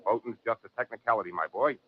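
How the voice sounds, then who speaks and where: An actor playing a smug, back-slapping campaign fixer with slick, chummy patter in an old-time radio drama. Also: male voice, fairly low pitch, fast, narrow, tinny audio, a little hiss or hum, lightly acted. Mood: smug, jovial.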